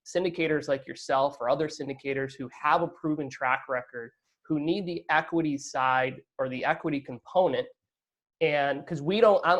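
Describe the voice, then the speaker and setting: A man answering as a guest in a business interview, talkative and explanatory, often checking that he is understood. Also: fairly high pitch. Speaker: interview guest, real estate fund operator.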